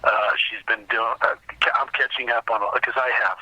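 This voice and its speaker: An American man talking casually as an interview guest, halting and rambling as he repeats words, over what sounds like a call. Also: mid-range voice, fairly even intonation, pushed and bright, breathy edge, no echo, lo-fi sound. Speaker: podcast guest, writer and interviewer. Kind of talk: casual conversational interview talk.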